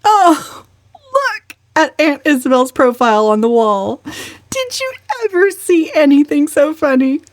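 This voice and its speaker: A woman voicing a defiant, witty woman of twenty-nine with a calm, sardonic delivery in a storybook reading. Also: sits high, no echo, pitched above the performer's own voice. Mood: gleeful. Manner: calm, dryly sardonic, deliberate. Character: defiant, witty, unflappable 29-year-old unmarried woman.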